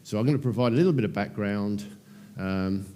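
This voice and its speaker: A man's voice: fairly low pitch, a little fast, slight background noise. Englishman speaking in a reverberant hall. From England. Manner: relaxed, many ums, dry self-deprecating asides. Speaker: conference presenter. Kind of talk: technical conference talk. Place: large conference hall.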